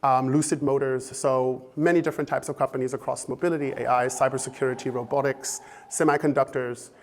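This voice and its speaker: An American man with a slight German-influenced accent, giving an impromptu talk to an audience, halting, with many fillers and restarts. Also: mid-range voice, steady tone. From United States. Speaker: speaker at a fellowship event.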